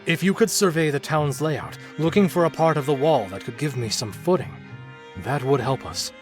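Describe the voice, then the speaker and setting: An actor playing a gentle, devoted man under a werewolf curse in a dramatic visual novel, earnest and solemn, with music underneath. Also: fairly high pitch, moderate pace, close to an everyday voice. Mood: hopeful, earnest.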